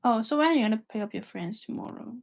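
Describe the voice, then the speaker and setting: A woman's voice: fairly high pitch, animated, quick pace, vocal fry, a little mellow. A young woman with a mix of Cantonese and British English accent, chatting casually and giggling, with lots of "like" fillers and trailing sentences. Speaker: livestream co-host. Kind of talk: casual chat with partner.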